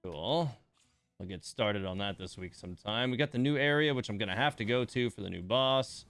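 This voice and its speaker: Low, smooth-voiced man streaming a game. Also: animated, fairly quick, fairly bright tone, dry recording, faint music behind. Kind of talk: casual live stream commentary on a game.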